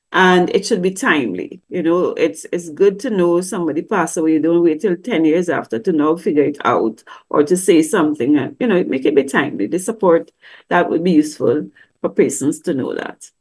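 A woman's voice: on the low side, expressive, moderate pace, husky. A middle-aged woman, teaching about grief through a webcam in a conversational, questioning way. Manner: conversational, asks many questions, acts out quoted voices. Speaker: grief counselor teaching at a church service. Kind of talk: teaching talk with slides. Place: indoor room. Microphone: webcam on a video call.

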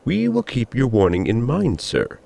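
An actor playing a formal, dutiful spaceship crewman, polite, precise and calm under fire, in a science fiction comedy audio drama. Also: medium pitch, creaky. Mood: polite, resolute. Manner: polite, precise, deliberate wording.

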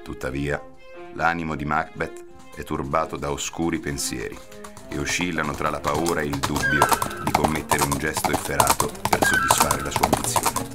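A man's voice: rumbling low, steady tone, normal speed, loud music behind the voice. A man giving dramatic scripted narration for a radio drama.

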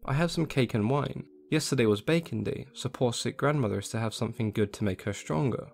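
A man's voice: mid-range voice, brisk, vocal fry, darkish tone, a little hiss or hum, natural voice. A man doing Little Red Cap, a sweet trusting girl. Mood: innocent.

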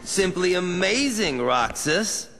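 An actor playing a cocky young rogue with a wistful streak in a video game, in a sardonic, drawling, dramatic manner. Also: male voice, sits high, very animated, slow, loud music behind the voice, heavily acted. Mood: mocking, angry.

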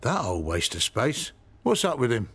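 A middle-aged British ex-policeman in a radio soap. He is dry, grumpy and suspicious, speaking in an ordinary, naturalistic way at a medium pitch. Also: huge pitch swings, normal speed, a bit bright, breathy edge, heavily acted. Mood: dry, weary.

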